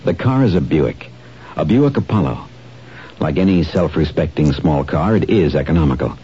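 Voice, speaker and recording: A man reading a 1970s radio car commercial in a relaxed, conversational way. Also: a bass voice, normal speed, a little hiss or hum. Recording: dull lo-fi old radio broadcast.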